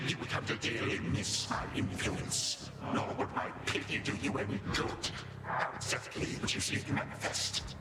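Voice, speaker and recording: An actor playing an ancient, bitter Titan grandfather in a video game, with a breathy hiss and grandiose, archaic, sardonic delivery. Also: lowish voice, moderate pace, pauses often, natural voice. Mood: scornful, bitter. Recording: loud music behind, echoing room. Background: loud game music.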